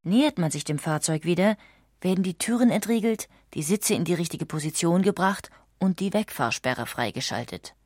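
A woman narrates a documentary script in a lowish voice. Her delivery is measured and articulate, and the recording is dry and close, with very little room sound. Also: expressive, a little mellow, dry recording. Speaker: audiobook narrator.

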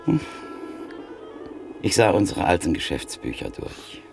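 An actor in a classic radio play playing a middle-aged antique print dealer who tells a story in a measured, reflective way. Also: male voice, sits low, huge pitch swings, creaky, over music, heavily acted. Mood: thoughtful. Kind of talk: classic radio drama acting.

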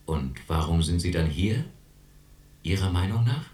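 A man's voice: deep voice, expressive, unhurried, darkish tone. A man playing a patronizing, coldly authoritarian institution doctor in a German radio drama. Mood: stern, condescending.

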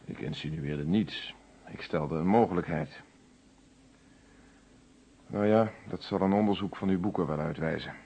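Husky, stern police inspector. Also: male voice, low-pitched, measured pace, pauses often, full character voice. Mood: cool, stern. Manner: formal, sceptical, methodical questioning. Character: stern, probing male police inspector questioning a suspect. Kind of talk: Dutch radio play acting. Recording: dull, lo-fi old radio recording.

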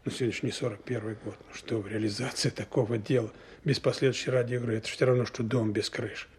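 A man's voice: medium pitch, fairly even intonation, fast, slight background noise, lightly acted. An actor playing a worried, stubborn lieutenant colonel in a Soviet radio drama, weighing his words as he presses his doubts. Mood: doubtful, troubled. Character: worried, stubborn male army lieutenant colonel, questioning superiors.

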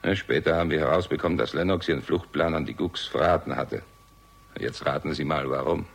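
A man's voice: rumbling low, brisk, vocal fry, husky, exaggerated voice. A man playing a bitter, cynical war veteran in a German radio crime drama, speaking in a curt, sardonic way. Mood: grim, bitter.

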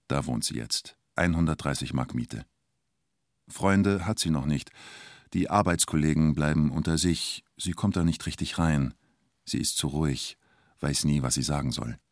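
A man's voice: a bass voice, a little mellow, dry recording, clean studio sound. A man narrating a scripted documentary radio drama in terse, short phrases. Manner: terse present-tense storytelling in short clipped phrases.

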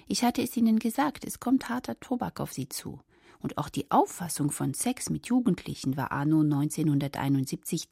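A woman's voice: low voice, mellow, breathy edge, no room sound, clean studio sound. A woman hosting radio drama with scripted presentation, wry and speaking directly to the listeners. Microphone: close studio microphone.

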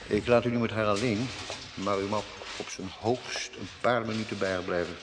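An actor playing a formal, measured male doctor in a radio drama, grave and protective of the patient. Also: fairly low pitch, steady tone, noisy background, close to an everyday voice. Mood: grave, courteous.

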